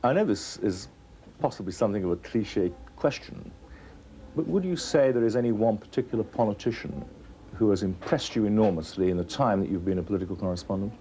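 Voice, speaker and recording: Low, mellow male interviewer. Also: lively intonation, fairly quick. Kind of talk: interview question. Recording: faint background noise.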